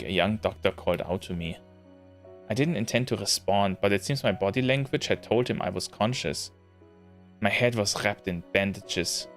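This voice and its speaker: A man streaming a visual novel, chatting casually and reading the narration aloud in an even tone. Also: lowish voice.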